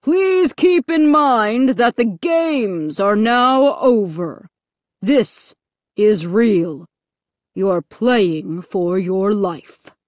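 A woman voicing a menacing monster announcer in an audiobook, booming out slow, drawn-out, thunderous words. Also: lively intonation, no echo, clean studio sound.

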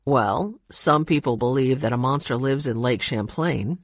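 A woman voicing an elderly grandfather with a warm, gently teasing manner. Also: extremely low pitch, measured pace, no echo, studio quality, pitched below the performer's own voice, full character voice. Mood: kindly. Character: kind, teasing old grandfather.

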